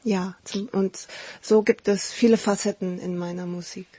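A young adult woman singer who grew up in Korea, answering interview questions thoughtfully in a halting way with frequent fillers and self-corrections. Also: medium pitch, steady tone, mellow, breathy edge, no room sound. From South Korea. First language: Japanese.

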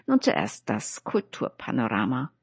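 A woman's voice: a bass voice, huge pitch swings, a little slow, vocal fry. A woman hosting a radio programme, reading a scripted announcement of what is coming up. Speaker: radio host.

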